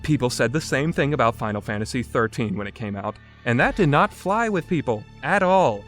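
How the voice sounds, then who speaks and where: A man delivers an opinionated video game review with lively, swinging intonation. He is blunt and sarcastic, leaning hard on his points. His pitch is mid-range for a male voice, and music plays behind him. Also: brisk.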